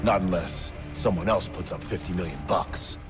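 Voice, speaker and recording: An actor playing a cocky, bitter hired killer in a video game, talking in a sardonic, threatening way. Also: male voice, sits low, normal speed, over music, lightly acted. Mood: sardonic threat. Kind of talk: video game character acting.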